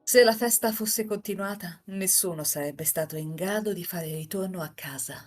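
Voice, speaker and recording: A woman reading as a composed, enigmatic housemaid who narrates a visual novel tale in formal, measured sentences. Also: fairly low pitch, soft background music, close to an everyday voice.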